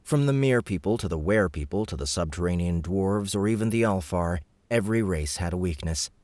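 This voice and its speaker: Male narrator for fantasy fiction audiobook; deep register, dry studio sound, scripted storytelling. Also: expressive, fairly quick, a little mellow, dry recording.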